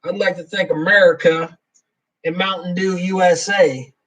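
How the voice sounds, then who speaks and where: A man chats loosely through a live Q&A stream and reads viewer comments out loud between his answers. His voice sits a bit high for a man. He sounds set back from the microphone. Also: steady tone, moderate pace, recorded from a distance. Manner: rambling, reads viewer comments aloud, chuckles often. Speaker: livestream host. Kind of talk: casual live Q&A chat.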